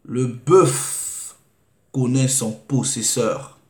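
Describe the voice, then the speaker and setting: A man preaching, reading scripture slowly and deliberately and asking rhetorical questions. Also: medium pitch. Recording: echoey room.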